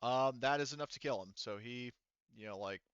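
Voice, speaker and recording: A man acting as game master in a casual tabletop session, thinking aloud in a halting way as he tallies rules and rolls. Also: mid-range voice, fairly bright tone, clear, resonant tone, dry recording, highs cut off.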